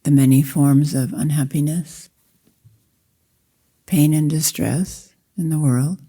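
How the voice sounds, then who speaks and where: Woman, guided meditation, very mellow dark tone, unhurried, measured phrasing, long pauses. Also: deep voice, steady tone, slightly rough. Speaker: Zen meditation teacher. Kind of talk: guided meditation, slow spoken instruction.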